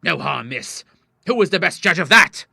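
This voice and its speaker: Man acting an indignant, forceful Victorian father. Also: medium pitch, lively intonation, fast, slightly rough, dry recording, studio quality, full character voice. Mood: furious. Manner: formal, sarcastic, emphatic. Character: indignant Victorian father, stern doctor, wounded and fiery. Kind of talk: dramatized audiobook dialogue.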